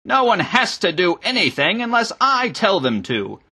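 A man's voice: high voice, wildly swooping pitch, unhurried, bright, forceful tone, husky, full character voice. A man voicing an irate, scornful bishop in an audiobook, snarling and barking orders and sarcastic questions. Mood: commanding. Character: irate, bullying male bishop, loud and scornful.

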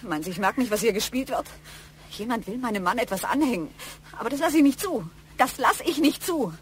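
Tense, sharp-tongued wife and mother, played by an actress, fairly high voice, curt and urgent, radio crime drama. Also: expressive, a little fast, slight background noise, lightly acted. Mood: defiant.

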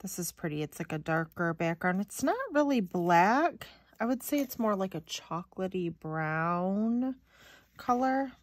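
A woman's voice: mid-range voice, lively intonation, measured pace, dry recording. A woman chatting casually and thinking aloud as she hosts an unboxing video, sounding slightly stuffy-nosed. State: slightly stuffy, sniffly nose. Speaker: craft video host. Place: indoors at a craft table.

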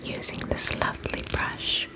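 A woman playing a soothing, attentive vampire spa beautician in an ASMR roleplay, whispering gently and reassuringly in a breathy voice. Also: close to an everyday voice. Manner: gentle, reassuring, slow and unhurried.

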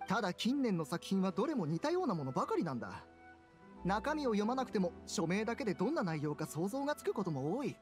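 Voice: mid-range voice, lightly acted. A performer voicing a mild, enthusiastic young male bookworm in a video game, musing casually and earnestly. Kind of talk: scripted video game dialogue.

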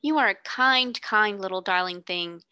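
A woman's voice: mid-range voice, moderate pace, pushed and bright, clear, resonant tone, no echo, clean studio sound, close to an everyday voice. A woman voicing a proud, well-bred little girl in shabby clothes, who speaks politely in a storybook reading. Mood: grateful.

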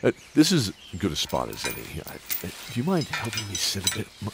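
Man playing a deep-voiced, kindly old knight. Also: wildly swooping pitch, a little fast, gravelly, heavy background noise, exaggerated voice. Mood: weary, content. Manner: hesitant, musing, sighing. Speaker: host of an audio drama. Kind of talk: in-character audio drama introduction.

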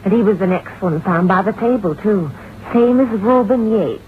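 An actress playing a gossipy, folksy country shopkeeper in an old-time radio drama, telling morbid tales with drawn-out pauses. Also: female voice, mid-range voice, lightly acted. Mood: morbid relish. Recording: muffled, hissy vintage radio recording.

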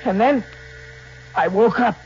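Hunted tough drifter, terse, vintage radio drama, dull sound, noticeable hiss. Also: male voice, high-pitched, unhurried. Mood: haunted, tense. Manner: tough, terse, slangy, brooding. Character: hard-bitten, hunted male drifter, vengeful and haunted. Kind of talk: 1940s radio acting, first-person crime narration. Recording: muffled, noisy 1940s radio recording.

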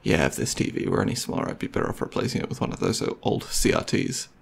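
Male actor for considerate, geeky young boyfriend, ASMR audio roleplay. Raspy, soft-spoken, conversational, slightly unsure of himself. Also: deep voice, wildly swooping pitch, quick pace, heavily acted. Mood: casual, wry. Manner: hesitant, soft-spoken, conversational, with mumbled asides. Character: gentle, nerdy, considerate young boyfriend on movie date.

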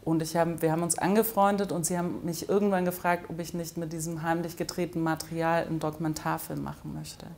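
A woman's voice: sits low, level tone, fast talker, few pauses, darkish tone. A middle-aged woman from Germany, a film director answering interview questions in a thoughtful way with frequent hesitations, recorded indoors on a close camera. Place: indoors in front of an orange wall.

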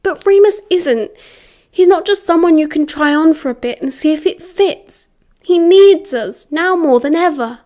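A woman's voice: high voice, moderate pace, darkish tone, lightly acted. A woman voicing an indignant teenage schoolboy in a story, bluntly scolding his best friend with British slang. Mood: urgent, reproachful. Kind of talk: dramatised story reading.

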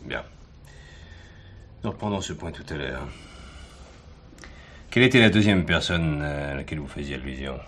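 A gruff bullying police commissioner, super low voice, sardonic, old radio drama with noisy background. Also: male voice, normal speed, long pauses, a bit bright. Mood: pressing, sardonic. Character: gruff, hard-nosed male police commissioner interrogating suspects. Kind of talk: French radio crime drama acting.

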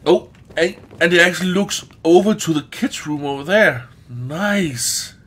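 Animated, higher-pitched man playing a game. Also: husky. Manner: exclamatory, often swearing, drawn-out words. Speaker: let's play host. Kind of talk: casual gameplay commentary.

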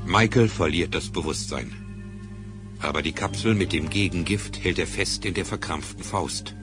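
A man's voice: low voice, normal speed, over music. A man giving dramatic scripted narration for a German radio play.

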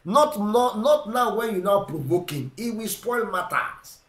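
A middle-aged man with a Ugandan English accent preaching a sermon, emphatic and repetitive, swinging between loud and quiet. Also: high-pitched, lively intonation, measured pace, reverberant. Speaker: pastor. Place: indoor room with plain wall. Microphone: seated facing camera.

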